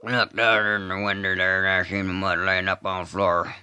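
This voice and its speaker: A slow-witted country man from a film drama, confessing without remorse in a Southern US drawl. The voice is low, hard-edged and resonant. He speaks in flat, halting phrases, broken by grunted hums. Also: clear, resonant tone, no echo, clean studio sound. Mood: flat, matter-of-fact. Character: slow, simple-minded rural man confessing a killing, flatly unrepentant. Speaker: amateur voice artist. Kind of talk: dramatic monologue re-enactment of a film scene.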